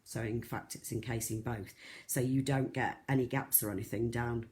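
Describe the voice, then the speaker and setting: A croaky, soft-voiced presenter, chatting through a live beading tutorial with lots of ums and restarts. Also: medium pitch, steady tone, normal speed. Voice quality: soft, not loud. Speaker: craft shop tutor.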